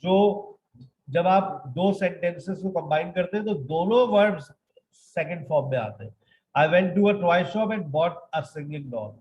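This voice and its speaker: A man in his thirties teaching an online English grammar lesson. He moves between quick explanations and slow, dictated example sentences. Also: high voice, expressive, darkish tone, dull audio, a little hiss or hum. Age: 30s.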